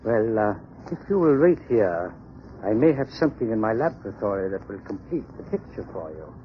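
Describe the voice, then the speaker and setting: A cold, boastful radio-drama murderer. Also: male voice, on the low side, very animated. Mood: guarded. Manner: boastful, menacing, deliberate. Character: villainous sanitarium man, boastful, cold-blooded murderer. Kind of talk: 1930s radio drama acting. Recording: muffled, noisy 1930s radio broadcast recording.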